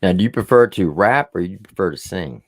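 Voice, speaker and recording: Low-voiced, joking male host. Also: expressive, brisk, dry recording, clean studio sound. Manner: joking and probing, asking pointed questions. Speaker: interview host. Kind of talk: casual interview talk.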